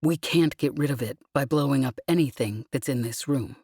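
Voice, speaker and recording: Woman doing a deep, grave scholarly man. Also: a little mellow, husky, dry recording, clean studio sound, full character voice. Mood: distant. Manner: distant, deliberate. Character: calm, grave, scholarly male ex-convict.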